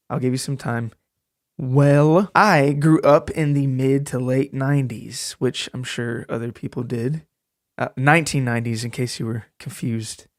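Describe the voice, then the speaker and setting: A man's voice: mid-range voice, no echo, studio quality. A man hosting a narrative podcast, talking to listeners in a joking, casual, storytelling way. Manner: joking, rambling, addresses listeners directly.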